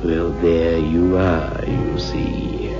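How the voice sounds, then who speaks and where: A man's voice: deep voice, flat delivery, drawn-out words. A man playing a sinister, wryly amused horror host on 1960s radio, teasing and leisurely. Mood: mock warning. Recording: muffled old radio recording with music.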